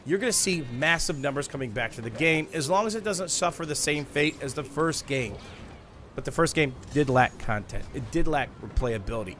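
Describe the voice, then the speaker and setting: A man's voice: on the high side, a bit bright. A man presenting gameplay commentary to viewers like a gaming YouTuber, emphatic and stretching the words he stresses. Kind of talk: scripted-sounding gameplay commentary, addressing viewers. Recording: clear background noise.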